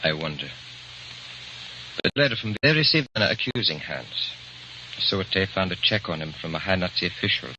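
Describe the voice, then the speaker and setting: A man acting a composed, knowing bearer of grim news in an old radio drama, speaking deliberately. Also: low voice, expressive, sharp tone, heavily acted. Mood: grave, pointed. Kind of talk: 1930s-40s radio acting. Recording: muffled old radio recording, heavy hiss.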